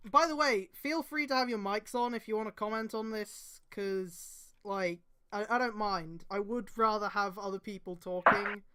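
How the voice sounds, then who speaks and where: Clear-voiced woman reading fanfiction aloud. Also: mid-range voice, moderate pace, few pauses, bright, forceful tone. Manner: exasperated, swearing asides. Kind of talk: live read-aloud of amateur fan fiction with casual asides.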